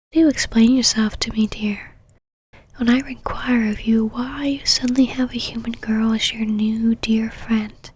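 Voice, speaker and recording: Casting: actress for female vampire knight, ASMR roleplay. Breathy whisper, haughty, possessive, teasingly controlling. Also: a little high, darkish tone. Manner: whispered, slow condescending endearments and commands.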